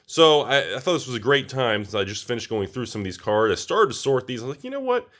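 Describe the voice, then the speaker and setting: An American man with a slightly raspy voice, a little under the weather, talking casually and ramblingly to viewers as he reviews products. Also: medium pitch, very animated, fast, fairly bright tone. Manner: informal, rambling, addresses viewers directly. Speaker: collectibles channel host.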